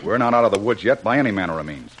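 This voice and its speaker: An actor playing a brisk, commanding, good-humoured adventurer hero in 1940s radio drama style. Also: male voice, lowish voice, animated, breathy edge. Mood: exasperated, commanding. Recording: noisy old radio recording.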